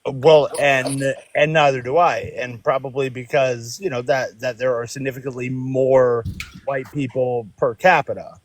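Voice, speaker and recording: A man in his forties from Florida calls into a live stream. He speaks in a measured, reasoned way. His voice is an ordinary mid-range. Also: mid-range voice, steady tone, slight background noise. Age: 40s. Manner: measured, reasoned, stalling a little. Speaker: caller.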